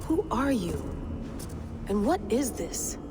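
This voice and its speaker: An actress playing a wary, blunt modern video game heroine with a direct, challenging manner. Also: female voice, a little high, very animated, takes it slowly, noisy background. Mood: puzzled. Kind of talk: video game cutscene acting.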